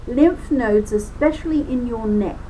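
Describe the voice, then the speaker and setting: A woman's voice: a little high, a little slow, warm tone, slight background noise, poor audio. A woman reading a children's book aloud as a lesson, explaining as she goes with chatty asides and stressing key words.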